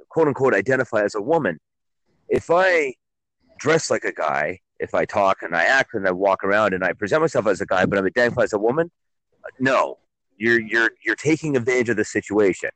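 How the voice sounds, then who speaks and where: A man's voice: on the low side, big pitch swings, fairly quick, fairly bright tone, no room sound, poor audio. A man co-hosting a casual radio talk show, speaking bluntly and with emphasis. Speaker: co-host.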